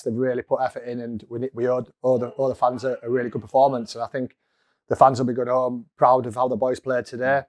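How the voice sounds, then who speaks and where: A football manager in his forties gives a post-match interview indoors. His voice sits level with little rise and fall, and he talks in a measured way, with many ums. The sound is dry and close from a clip-on mic. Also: mid-range voice, darkish tone, dry recording. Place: small indoor room in front of a sponsor board. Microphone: lapel mic.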